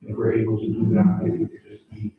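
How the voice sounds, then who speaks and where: Male councilor, native French speaker, halting delivery, far from the mic in an echoey room. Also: fairly low pitch, completely flat delivery, slight background noise. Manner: halting, searches for words, repeats himself. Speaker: town councilor. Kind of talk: council meeting report. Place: council chamber. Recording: echoey chamber, distant phone-like mic.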